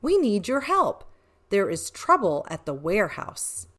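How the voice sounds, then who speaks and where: A woman's voice: medium pitch, sing-song, a little slow, a bit bright. A woman reading a storybook, voicing an urgent town mayor who pleads for help. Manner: urgent, exclaiming.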